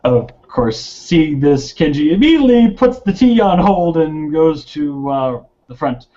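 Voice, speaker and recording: A man's voice: fairly high pitch, animated, measured pace, dark tone. A man narrating his character's actions in an improvised tabletop roleplaying session. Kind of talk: improvised third-person game narration. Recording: dull lo-fi sound.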